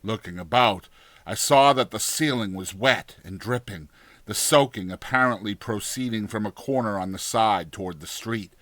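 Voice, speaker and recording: A man narrating a horror story as a dramatized audiobook, in a deliberate, theatrical manner. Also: lowish voice, fairly bright tone, no room sound.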